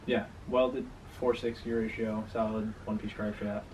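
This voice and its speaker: A man's voice: fairly low pitch, flat delivery, unhurried, darkish tone, far from the mic. A man chatting casually in an offhand, slangy, rambling way, with frequent swearing. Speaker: car owner and vlogger. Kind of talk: casual chat about his drift car. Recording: clearly noisy location recording.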